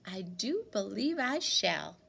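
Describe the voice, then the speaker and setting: Woman playing a smooth, unhurried, mysterious gentleman. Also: medium pitch, sing-song, full character voice. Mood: probing, thoughtful. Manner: light, mannered, politely probing. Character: courteous, smooth, mysterious tall man in yellow suit.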